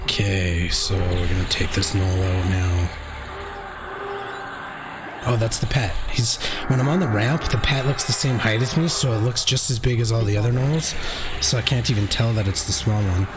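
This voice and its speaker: A man with a deep voice casually commentating his own online game session, thinking aloud and swearing now and then. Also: fairly even intonation, normal speed, very noisy. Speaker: gaming channel host. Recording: game music behind the voice.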